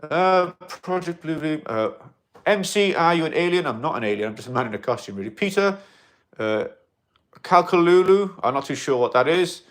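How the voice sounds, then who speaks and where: A man in an alien costume, chatting casually on a livestream and answering viewer comments in a rambling, excitable way. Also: on the high side, normal speed. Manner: rambling and excitable, with many fillers and restarts; loud bursts of excitement between quieter asides.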